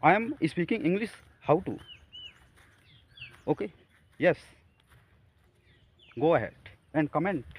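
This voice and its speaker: Halting Indian man, lively pitch. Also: slightly rough. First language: Hindi. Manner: halting, with frequent fillers and restarts. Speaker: English-practice vlogger. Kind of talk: casual talk to camera.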